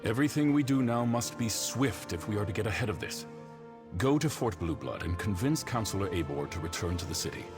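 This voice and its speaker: A man voicing a grave, authoritative imperial councillor in a video game, measured and official. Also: lowish voice, animated, over music, close to an everyday voice. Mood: urgent, resolute.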